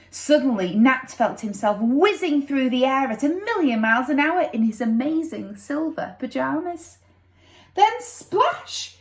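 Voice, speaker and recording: A woman narrates a children's story in a playful, dramatic style. Her voice is high and swoops with lots of expression, and the room around her is noticeably echoey. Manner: dramatic, playful with sound effects.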